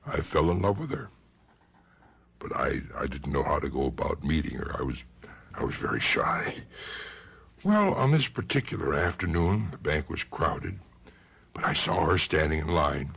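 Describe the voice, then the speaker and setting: A man's voice: a bass voice, creaky, fairly bright tone, husky, heavily acted. An actor playing an earnest, troubled husband recalling his lifelong love in a radio drama. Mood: wistful recollection. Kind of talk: 1970s radio drama acting.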